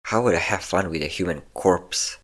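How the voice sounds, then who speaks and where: Very deep, smug male vampire, acted by a man. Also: moderate pace. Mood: taunting, playful. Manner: teasing, commanding, theatrically smug. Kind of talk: intimate roleplay audio drama.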